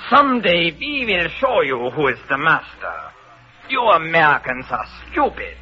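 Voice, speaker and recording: A man's voice: on the high side, big pitch swings, moderate pace, pushed and bright, voice pushed up, full character voice. A man voicing an arrogant, scolding German judge for a radio story. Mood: scornful, scolding. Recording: muffled old radio, music behind.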